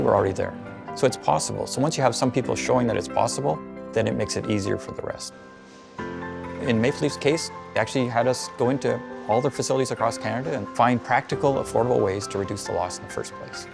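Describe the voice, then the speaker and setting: A man giving a documentary interview, explaining food waste consulting in a brisk, persuasive way. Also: mid-range voice, creaky, music in the background.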